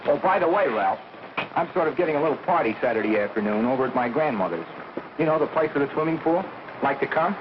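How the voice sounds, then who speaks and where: Fast-talking friendly 1930s film youth played by a man. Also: a little high, expressive, close to an everyday voice. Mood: eager, friendly. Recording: noisy dull 1930s film soundtrack.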